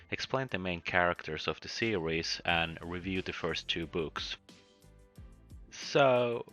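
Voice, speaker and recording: A very low-voiced man narrates a scripted book review. His tone is bright and forceful, and his delivery is wry and joking, with frequent chuckles. Faint music plays underneath. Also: muffled recording. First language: Finnish.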